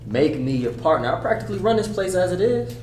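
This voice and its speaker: A man's voice: high voice, very animated, fast, darkish tone. A man playing a defensive, sullen young adult son who tends to mutter, in a screenplay table read. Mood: insistent. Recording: echoey room, distant mic, faint hum.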